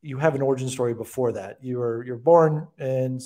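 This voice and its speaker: A man's voice: mid-range voice, fairly quick, dark tone. An American man around his forties hosting a podcast, interviewing in a casual, conversational way on a close desk microphone. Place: indoor room with bookshelves, on a video call. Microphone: desk microphone close to the mouth.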